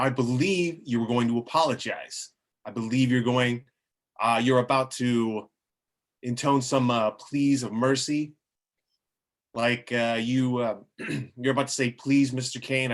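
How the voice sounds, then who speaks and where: A man's voice: mid-range voice, steady tone, normal speed, close to an everyday voice. A man playing a threatening, profane gang boss in an amateur screenplay table read. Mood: mocking. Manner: threatening, swaggering. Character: menacing, profane male strip-club gang leader.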